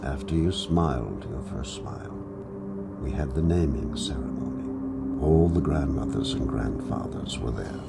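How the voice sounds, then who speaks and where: Very deep, gravelly old grandfather. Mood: gentle, grave. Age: older. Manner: solemn, tender, deliberate storytelling. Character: gentle, solemn old Native grandfather telling his grandson's story. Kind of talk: dramatic picture-book read-aloud. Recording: music under the voice.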